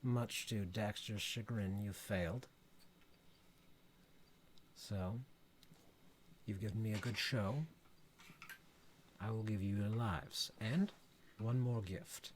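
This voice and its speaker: A man voicing an ancient godlike male being, grave and slow. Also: on the low side, steady tone, slight background noise, pitched below the performer's own voice. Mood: ominous.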